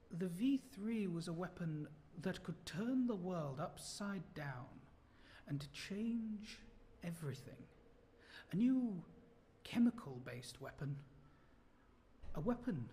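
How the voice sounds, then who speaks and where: A man's voice: sits high, expressive, slow pace, warm tone, slight background noise. Guy playing a jolly posh english soldier chap, 1940s radio drama, takes his time, voice jumps up and down. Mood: intrigued. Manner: posh, jovial, old-fashioned exclamations. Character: young well-spoken English ex-soldier on a 1940s courting stroll. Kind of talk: period radio drama acting.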